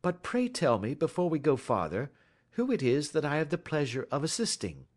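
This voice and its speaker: Gentleman sleuth for classic storybook, played by a man. Suave, confident, wryly mocking, exact, animated and raised in pitch. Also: moderate pace. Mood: suave, courteous. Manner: suave, precise, wry. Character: shrewd, self-assured male gentleman detective, gently mocking.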